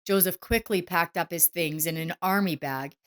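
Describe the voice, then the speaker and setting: A woman's voice: fairly low pitch, moderate pace. A woman reading a scripted, dramatic story as its narrator.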